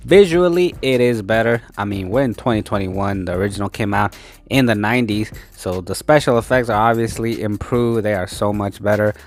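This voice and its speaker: A man hosting a movie review channel, sounding congested and tired as he recovers from losing his voice, speaking close into a microphone. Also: fairly quick. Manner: low-energy, tired. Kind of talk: casual spoken review. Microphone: speaking close into a mic, voice-over.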